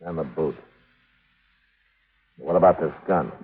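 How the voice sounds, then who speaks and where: A man playing a stern, steady American frontier marshal in an old radio western, speaking in terse commands and questions. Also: rumbling low, slow pace, husky, exaggerated voice. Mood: stern, probing. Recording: muffled, narrow-band old radio recording.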